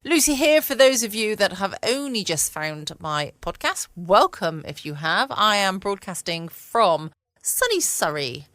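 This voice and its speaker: A woman's voice: mid-range voice, big pitch swings, measured pace, fairly bright tone, no room sound, clean studio sound. A woman hosting a casual bedtime podcast in a rambling, self-deprecating way. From United Kingdom. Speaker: podcast host. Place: at home, close dry recording.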